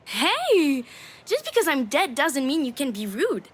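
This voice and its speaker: A woman's voice: high voice, sing-song, measured pace. An actress voicing a cheeky, affectionate young daughter in a video game, with a playful, girlish, teasing manner. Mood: playful, reassuring. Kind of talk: naturalistic video game drama acting.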